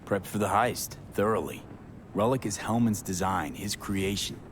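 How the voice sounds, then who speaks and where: A man's voice: fairly low pitch, normal speed, lightly acted. An actor playing a blunt, sardonic male mercenary who talks in short, clipped sentences. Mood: skeptical. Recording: game audio with clear background noise.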